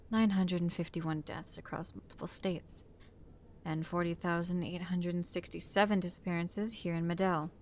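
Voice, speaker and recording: A woman playing a commanding, vengeful young chief detective in an audio drama, with a firm, curt, authoritative delivery. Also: on the low side. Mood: grim, weary. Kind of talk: audio roleplay drama acting.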